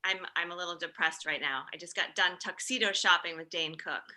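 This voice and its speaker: A woman acting blunt, syrupy comic characters in an animated comedy table read. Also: on the low side, brisk. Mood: blunt, gloomy. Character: blunt comedy booker and chirpy mommy-group leader.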